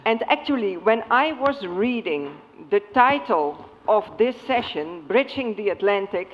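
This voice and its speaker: A woman from Suriname, with Dutch as her first language, makes a measured, earnest comment from the floor of a conference. Her voice rings through a large hall with long reverb, and the recording sounds dull and muffled. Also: mid-range voice, lively intonation. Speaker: audience member, former member of parliament. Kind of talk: panel discussion comment.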